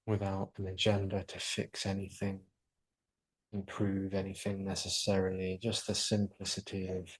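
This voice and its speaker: Male yoga nidra guide, lowish pitch, very flat intonation, soothing and unhurried delivery. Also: slightly rough, low-quality recording. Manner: soothing, unhurried, pausing between short phrases. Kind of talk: guided relaxation meditation.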